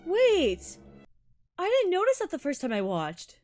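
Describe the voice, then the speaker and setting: Excitable young violinist woman, malayalam and tamil native, laughs a lot, bouncy pitch, soft music underneath. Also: unhurried. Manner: bubbly, excitable, laughs often. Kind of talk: casual music breakdown reaction. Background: faint music playing.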